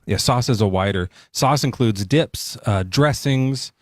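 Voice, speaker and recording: A man's voice: mid-range voice, dry recording, studio quality. A man hosting a casual late-night debate stream, joking and often restarting his sentences. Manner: joking, halting, many restarts. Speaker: debate show host.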